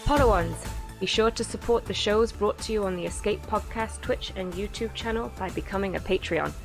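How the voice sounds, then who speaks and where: A woman's voice: mid-range voice, a little fast. A woman announcer giving a scripted promotional read with music behind her. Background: faint music.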